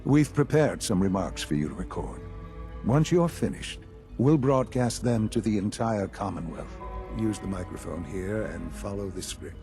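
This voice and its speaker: A composed senior science guy in a game, low voice, formal and assured, music playing. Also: animated, moderate pace. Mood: calm, assured. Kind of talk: video game voice acting.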